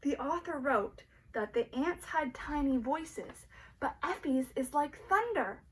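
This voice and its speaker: A woman teacher reads a story to young children in a high, very animated voice. She takes her time and speaks expressively, addressing the listeners directly. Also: slow. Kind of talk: read-aloud children's story with questions.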